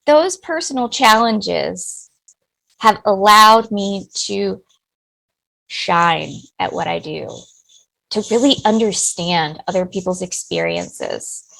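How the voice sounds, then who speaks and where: Talkative woman with a gravelly voice. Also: mid-range voice, poor audio. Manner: personal, talkative, warm-hearted. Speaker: business owner, guest. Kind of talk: interview answers.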